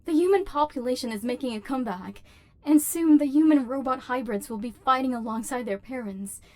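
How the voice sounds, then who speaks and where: A haughty female robot overlord, acted by a woman for an ASMR roleplay. Her voice sits fairly high. She speaks in formal, clipped, machine-like phrases, with a commanding, human-hating villain's temper. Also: fairly quick, no echo, close to an everyday voice. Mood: cold, scheming.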